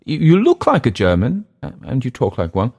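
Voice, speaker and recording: A nervous young Englishman, acted out by a male narrator, pitched up high and eager. He is naive and apologetic, stumbling over his words in an English accent, like a character in an audiobook adventure. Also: wildly swooping pitch, brisk, a little mellow. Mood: hesitant, flustered. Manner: hesitant, stammering, apologetic.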